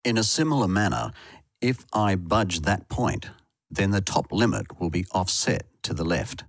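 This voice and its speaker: A man reading a scripted instructional narration in a measured, explanatory way. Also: low voice, lively intonation, no echo, clean studio sound. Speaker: software tutorial narrator.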